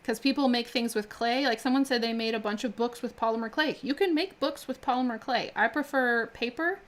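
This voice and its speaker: A woman's voice: on the high side, fairly quick. A woman chatting casually while she builds miniatures on a live stream, laughing often and talking with many fillers and restarts. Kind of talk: casual chat while crafting.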